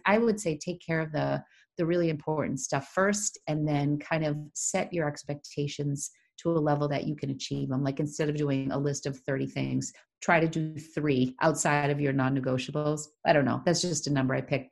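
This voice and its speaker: Low, gravelly woman chatting. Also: darkish tone. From Massachusetts, USA. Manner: chatty, rambling, laughs often. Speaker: podcast co-host. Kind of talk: casual chat.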